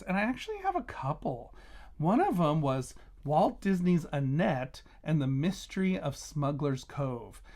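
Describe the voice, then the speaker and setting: A man's voice: fairly high pitch, a little mellow. A man hosting a casual book review vlog, talking conversationally and emphatically, stressing and repeating key words.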